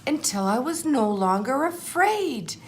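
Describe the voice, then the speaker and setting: A woman voicing a humble, anxious but determined egg telling his life story in a storybook, in an earnest, measured way. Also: high-pitched, big pitch swings, slight background noise, pitched above the performer's own voice. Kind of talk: storybook first-person narration.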